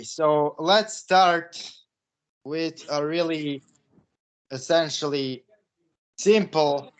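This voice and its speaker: A man from Bosnia hosting an interview, introducing his guest and asking slow, deliberate questions. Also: fairly high pitch, rough recording. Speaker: host.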